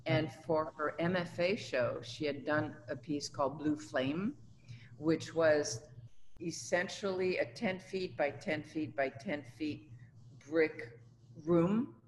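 A woman's voice: low voice, slow. An older woman from Tunisia speaks in a conversational, reflective interview style. Her voice rings in a reverberant studio room, with faint noise behind it. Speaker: artist being interviewed. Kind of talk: conversational personal recollection. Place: indoors in an art studio.

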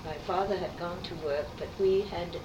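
A woman in her eighties, raised speaking French, telling her life story in an unhurried, reminiscing way for an oral history interview. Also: on the low side. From New York City. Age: 80s. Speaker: artist interviewee. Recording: roomy, distant, noisy tape.

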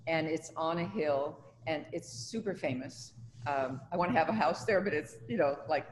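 A woman's voice: sits low, normal speed. A woman in her seventies from Tunisia, an artist talking conversationally about her own life and work in an interview. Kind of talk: conversational personal recollection. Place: indoors in an art studio. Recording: reverberant room, faint background noise.

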